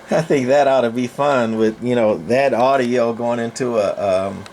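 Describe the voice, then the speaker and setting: An older man giving a casual, unscripted equipment demo in a rambling, folksy, halting way with long pauses. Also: mid-range voice, darkish tone, a little hiss or hum. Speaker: presenter, CB radio collector.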